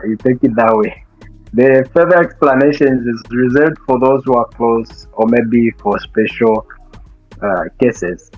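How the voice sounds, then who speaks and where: A young man from Zambia, a guest in an interview, speaking thoughtfully with many pauses and restarts. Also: on the low side, lo-fi sound. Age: young adult. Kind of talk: casual interview talk.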